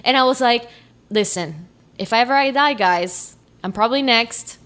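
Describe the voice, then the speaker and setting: A woman co-hosting a casual podcast with a fairly high voice. She is animated, laughs often and swears freely as she chats. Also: moderate pace. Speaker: podcast co-host. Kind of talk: casual chat.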